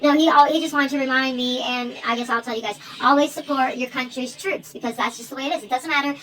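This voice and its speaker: An American woman with a high-pitched voice talks quickly in a loose, rambling phone-chat style. She is informal and keeps wandering into asides. There is faint noise behind her voice. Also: a bit bright, rough recording. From United States. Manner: rambling, informal, jumps between asides, swears casually. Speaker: online video creator staging a phone call. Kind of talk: rambling one-sided phone chat to camera.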